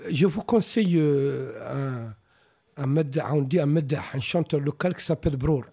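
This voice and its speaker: Gravelly-voiced Mauritanian man, thoughtful. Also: on the high side, mellow. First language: Arabic. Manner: thoughtful, halting with restarts. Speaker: novelist, interview guest. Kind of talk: reflective radio interview answers.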